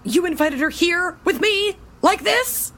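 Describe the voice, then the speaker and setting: A woman playing an eager, excitable female superhero hacker and scientist in an audio drama, with an expressive delivery. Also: very high voice, a little slow, a little hiss or hum, heavily acted. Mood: shocked.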